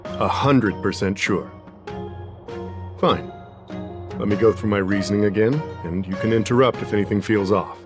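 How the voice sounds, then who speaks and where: A man voicing a self-assured official in a video game, speaking formally and deliberately as he lays out accusations. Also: on the low side, lightly acted. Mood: confident, accusing. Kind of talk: scripted video game dialogue acting. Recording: music behind the voice.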